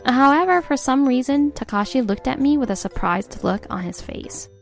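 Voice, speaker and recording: An exasperated young wife. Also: female voice, high voice, animated, normal speed, vocal fry. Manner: exasperated, sarcastic. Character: fed-up young wife narrating her story. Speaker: narrator and wife. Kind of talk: scripted narration. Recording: faint music behind.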